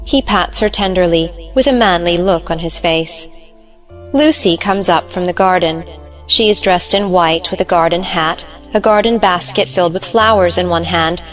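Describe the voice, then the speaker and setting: Lowish-voiced woman narrating an audio play. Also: normal speed, soft background music, low-quality recording. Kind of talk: scripted narration of stage directions.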